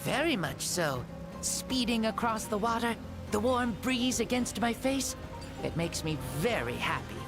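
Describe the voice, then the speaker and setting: Serene, expressive ancient hero spirit. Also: male voice, high-pitched, full character voice. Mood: joyful. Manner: measured, wistful. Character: gentle ancient hero spirit, youthful-sounding male, serene and grateful. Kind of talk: anime video game voice acting. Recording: game audio under clear music.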